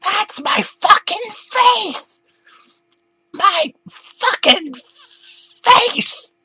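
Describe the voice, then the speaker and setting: A man voicing a panicked young boy who yells in a high, hysterical voice. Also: lively intonation, drawn-out words, dry recording, exaggerated voice.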